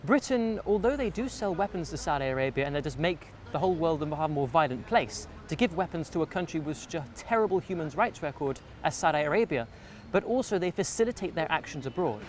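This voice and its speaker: A man with a fairly high voice talks very quickly in an outdoor street interview. He lays out his points articulately and in order, with some traffic noise behind him. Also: expressive. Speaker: member of the public interviewed.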